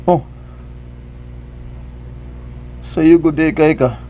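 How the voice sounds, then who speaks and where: A man's voice: a little high, steady tone, normal speed, mellow, a little hiss or hum, close to an everyday voice, rough recording. A man acting a secretive guide in a radio drama, with a Cebuano Filipino accent. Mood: matter-of-fact. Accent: Filipino, Cebuano-speaking.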